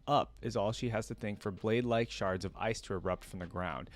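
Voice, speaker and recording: A man's voice: lowish voice, brisk. A man doing informal reaction commentary, switching between casual slangy remarks and reading story text aloud. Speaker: reaction video creator.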